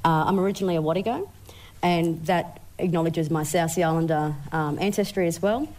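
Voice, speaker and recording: A woman's voice: on the low side, steady tone, brisk, darkish tone, rough recording. A woman with an Australian accent speaking articulately as a guest on a panel. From Brisbane, Australia. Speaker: panelist, researcher.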